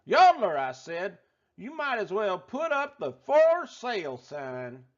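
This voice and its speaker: A man voicing a folksy, excitable restaurant owner telling a tall tale in a theatrical drawl for a children's storybook. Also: high-pitched, slow, dull audio, heavily acted. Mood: folksy, excited. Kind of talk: animated children's storybook read-aloud.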